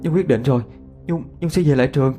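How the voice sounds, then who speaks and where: A man's voice: mid-range voice, fast, mellow, soft background music, pitched above the performer's own voice, close to an everyday voice. A man voicing a frightened, timid young female student in a ghost story, stammering and pleading. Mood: upset.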